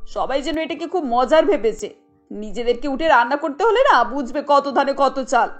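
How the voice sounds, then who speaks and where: A woman's voice: sits high, expressive, moderate pace, faint music behind. A woman voicing an exasperated, bossy cartoon mother-in-law who scolds and gives orders to her family. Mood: scolding, exasperated. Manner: scolding, commanding.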